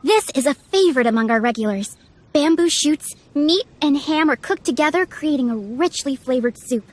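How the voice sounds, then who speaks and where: A woman's voice: sits high, lively intonation, moderate pace, dry recording. A woman playing a helpful, polite restaurant server in a video game, presenting things in an obliging manner. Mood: obliging, promotional. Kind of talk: scripted video game acting.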